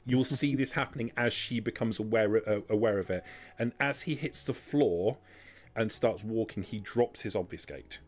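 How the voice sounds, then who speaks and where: A man's voice: on the low side, moderate pace. A man running a tabletop roleplaying game as game master, narrating scenes and explaining the rules. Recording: music behind the voice.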